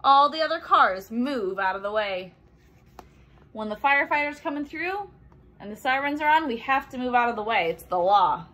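High, very animated woman reading a storybook. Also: normal speed. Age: young adult. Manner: animated, acts out sound effects and exclamations. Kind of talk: expressive children's storybook read-aloud with explanatory asides.

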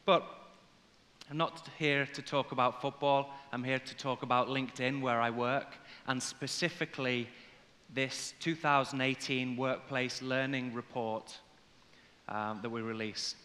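Bright-voiced English man presenting in a hall. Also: mid-range voice, fairly even intonation, unhurried. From Liverpool, England. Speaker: corporate conference speaker. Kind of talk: conference presentation to an audience. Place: large indoor conference hall, on stage. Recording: reverberant hall sound from stage.

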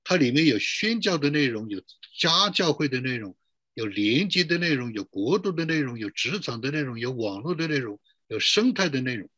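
A man's voice: mid-range voice, very animated, brisk, pushed and bright, no echo. A middle-aged man pastor giving a sermon to an online church, posing rhetorical questions and drawing out emphatic phrases.

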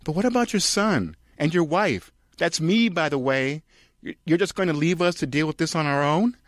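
Man playing an anxious woman, slightly raised pitch. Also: lively intonation, normal speed, dry recording, studio quality, close to an everyday voice. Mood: exasperated. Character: exasperated, anxious woman, pleading sister and wife.

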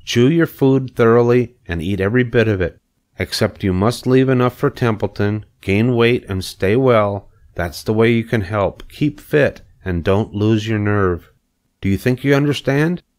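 A man voicing a calm, wise female spider in a storybook reading, measured and reassuring. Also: lowish voice, pitched above the performer's own voice, natural voice. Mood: instructive. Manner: measured, reassuring, matter-of-fact.

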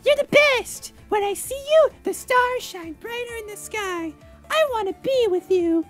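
Anime schoolgirl, very high voice, melodramatic, drawn-out, visual novel game. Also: lively intonation, faint music behind. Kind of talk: improvised visual novel dubbing.

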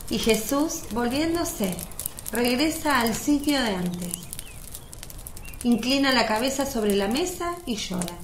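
A woman's voice: a little high, lively intonation, slow. A woman reading scripted narration for a radio drama. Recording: roomy echo, faint background noise.

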